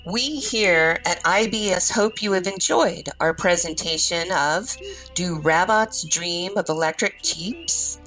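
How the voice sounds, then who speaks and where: Lady doing a mock-grand radio play announcer, slow and formal, bright punchy voice, faint music. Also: fairly low pitch, poor audio. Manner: formal, theatrical.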